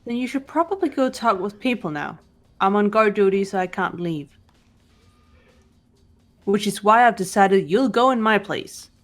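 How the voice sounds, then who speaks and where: Girl doing a composed young lady detective for a game, medium pitch, measured and bossy in a cool way. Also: lively intonation, faint music behind, natural voice. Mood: cool, commanding. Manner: measured, cool, matter-of-fact. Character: composed, refined young female detective, coolly commanding. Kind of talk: fan voice-over of visual novel game.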